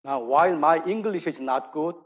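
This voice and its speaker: A man from South Korea with a Korean accent, a native Korean speaker, giving a public academic lecture in halting, self-deprecating English. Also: fairly high pitch, slightly rough. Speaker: lecturer, archaeology scholar. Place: speaking live to an audience at an event.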